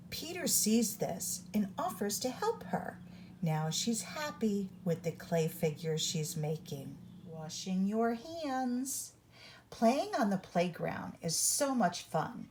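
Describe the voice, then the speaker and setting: A woman reading a children's picture book aloud as a storyteller, with deliberate, instructive read-along phrasing for young listeners. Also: medium pitch, a little mellow, slight background noise.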